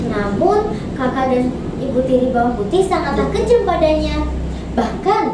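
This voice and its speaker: A young woman telling a folktale expressively, as a student storyteller. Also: high voice. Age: teens. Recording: very echoey, distant mic, noisy.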